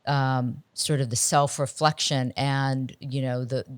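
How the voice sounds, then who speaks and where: A woman's voice: extremely low pitch, little pitch movement, slow, smooth, no room sound. A middle-aged woman from Ohio hosting a casual interview, often ending points with tag questions. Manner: conversational, tag questions, restarts. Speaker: host.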